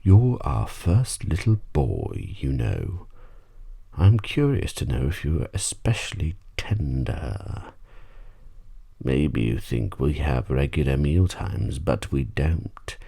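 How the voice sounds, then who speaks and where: A man voicing hungry, menacing storybook tigers with a husky, breathy, drawn-out delivery. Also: very deep voice, big pitch swings, mellow, no echo, studio quality, heavily acted. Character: hungry menacing male tigers, slyly toying with prey.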